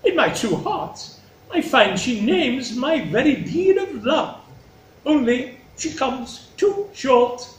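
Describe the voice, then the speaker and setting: Man playing a gushing, high-pitched flattering princess. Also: heavily acted. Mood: gloating flattery. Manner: grand, effusive, drawn-out declamation. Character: flattering, gushing princess, elder daughter of a king. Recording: roomy, distant mic, faint noise.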